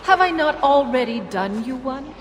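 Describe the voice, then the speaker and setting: An actress playing a proud, sardonic Norse giantess in a video game cinematic, speaking in a measured, formal, ominous way. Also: female voice, high-pitched, lively intonation, long reverb tail, slight background noise. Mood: guarded, defiant.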